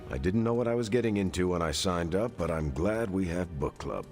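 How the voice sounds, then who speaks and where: A man's voice: low voice, animated, a little fast, a little mellow, soft background music. A man voicing an easygoing, sincere superhero in a video game, chatting casually with a wry touch. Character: easygoing, friendly male superhero, sincere and jokey. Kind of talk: video game cutscene acting.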